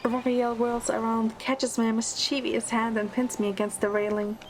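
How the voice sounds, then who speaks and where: A woman's voice: fairly high pitch, level tone, moderate pace, a little hiss or hum, close to an everyday voice, low-quality recording. A woman playing a playful, teasing young heroine who narrates her story in an audio drama, in the first person. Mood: gentle narration. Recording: music under the voice. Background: background music.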